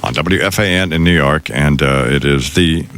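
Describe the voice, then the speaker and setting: A man hosting a morning radio show with loose banter, speaking in a halting, drawling, needling manner. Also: very deep voice, a bit bright, slight background noise. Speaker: radio talk show host.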